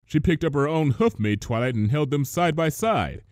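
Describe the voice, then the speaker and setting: A man narrating a scripted story as an expressive reader. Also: fairly high pitch, normal speed, no room sound, studio quality. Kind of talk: scripted narration, expressive fan fiction reading.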